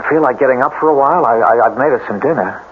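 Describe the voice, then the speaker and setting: A man's voice: mid-range voice, very fast, natural voice. Brooding, earnest man, played by an actor, narrow-band sound. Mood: tender. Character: brooding, protective, scarred outcast man in love. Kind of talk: old-time radio drama acting. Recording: thin, noisy old radio broadcast.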